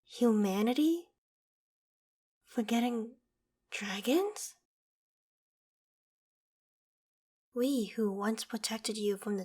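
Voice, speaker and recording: Woman playing ancient female dragon, proud and vain, unhurried pace, formal theatrical diction, ASMR roleplay. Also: medium pitch. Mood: indignant, demanding. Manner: formal, haughty, theatrical phrasing. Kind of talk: ASMR roleplay audio drama.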